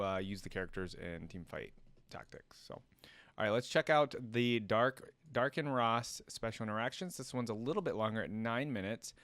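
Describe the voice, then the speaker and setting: A man's voice: lowish voice, a bit bright. A man giving casual, chatty reaction commentary as an enthusiastic fan, in laid-back slangy wording. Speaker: host of a reaction video. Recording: music playing behind the voice. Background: game voice clips and music.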